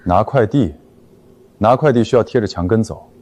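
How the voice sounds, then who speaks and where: Low, mellow, domineering male boss, played by an actor. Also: normal speed, lo-fi sound, natural voice. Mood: dry sarcasm. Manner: deadpan, sarcastic, controlled. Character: cool, domineering young male company boss. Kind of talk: animated short drama dialogue.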